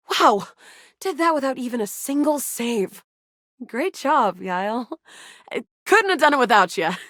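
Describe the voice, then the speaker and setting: A woman voicing a feisty, excitable young resistance fighter in a sci-fi video game, with a dramatic, playful delivery. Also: a little high, no echo, studio quality, full character voice. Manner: animated, dramatic, playful banter. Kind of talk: video game character voice acting.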